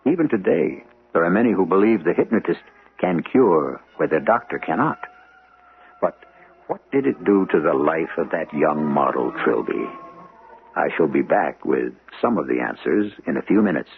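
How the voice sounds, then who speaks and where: A man hosting and narrating a 1970s radio mystery, theatrical, with dramatic pauses. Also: low voice, big pitch swings, vocal fry. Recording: muffled, lo-fi old radio recording.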